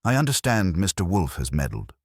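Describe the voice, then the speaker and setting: A man's voice: low-pitched, wildly swooping pitch, a little fast, husky, dry recording, studio quality, exaggerated voice. A man voicing a vain, affronted schemer in an audiobook, clipped and coolly indignant. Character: vain, affronted male schemer with polished veneer.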